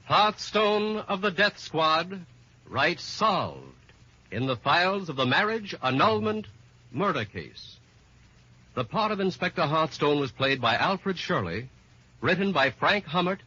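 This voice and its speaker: American male announcer, formal, emphatic, animated intonation, husky, muffled vintage 1950s radio. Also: a little high, measured pace. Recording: muffled, lo-fi old radio recording.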